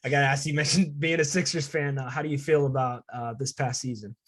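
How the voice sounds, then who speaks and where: A man's voice: medium pitch, fairly even intonation, quick pace, fairly bright tone, breathy edge. A young man, a student podcast host, chatting casually as he interviews a guest. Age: young adult.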